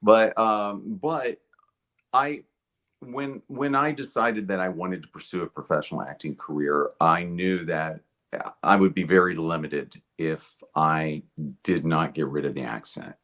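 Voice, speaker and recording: A man from Kentucky with an American accent, talking casually and thoughtfully as an interview guest in a measured way. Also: sits low, a bit bright.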